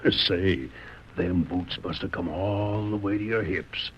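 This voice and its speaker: An actor playing a crooked, smug and scheming sheriff in an old radio western, with a gravelly folksy drawl. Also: male voice, deep voice, slow pace. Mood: smug, gloating. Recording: dull vintage radio recording, faint noise.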